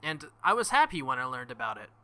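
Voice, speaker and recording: A man reading aloud as a stern, earnest young woman knight-king, in formal, measured speech without contractions. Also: mid-range voice, big pitch swings, gravelly, pushed and bright, heavily acted. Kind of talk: reading visual novel dialogue aloud.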